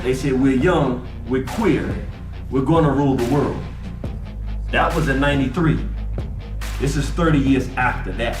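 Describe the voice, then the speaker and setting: A man in his forties hosting a live talk show, speaking emphatically and slowly repeating his key points. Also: mid-range voice. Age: 40s. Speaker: host. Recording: roomy, far from the mic, music behind.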